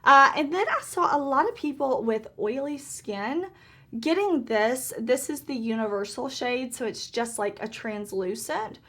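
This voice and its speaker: Brief: woman, thirties, slightly hoarse, bubbly beauty-vlogger chatter, voice pitched a bit high. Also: animated, measured pace, a bit bright. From Southern United States. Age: 30s. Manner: chatty and upbeat, laughs often. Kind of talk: casual get-ready-with-me makeup chat.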